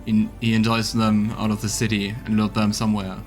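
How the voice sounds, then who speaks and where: A man's voice: lowish voice, monotone, a little fast, soft background music. A man streaming a video game, reading out narration and adding his own casual commentary as host. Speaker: streamer, let's play host.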